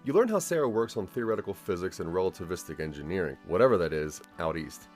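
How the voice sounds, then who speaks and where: A man narrating a scripted audio drama in the second person, with a measured storytelling delivery. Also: deep voice, lively intonation, a little mellow, faint music behind.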